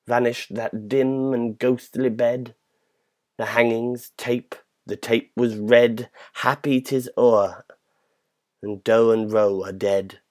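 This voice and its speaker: A man giving a dramatic, measured recitation of a poem in a theatrical manner. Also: mid-range voice, steady tone, a little mellow. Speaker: poetry reader.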